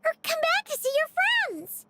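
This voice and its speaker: A woman voicing a small, excitable, affectionate childlike companion in a video game, with a squeaky childish voice. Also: a little slow, heavy background noise, full character voice. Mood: worried, emotional.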